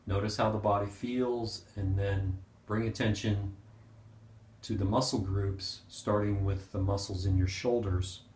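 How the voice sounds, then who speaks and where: A man with a fairly low voice leads a guided meditation in a clearly echoing room. He gives gentle, unhurried instructions and leaves pauses between short phrases. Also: steady tone, slight background noise. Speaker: meditation teacher. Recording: roomy, echoing sound.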